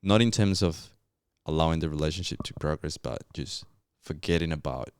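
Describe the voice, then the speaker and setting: A man's voice: very deep voice, moderate pace, smooth, no echo, clean studio sound. A young man from Colombia with a Colombian Spanish accent, a podcast guest telling his story in a reflective, casual way with lots of fillers. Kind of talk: casual interview chat.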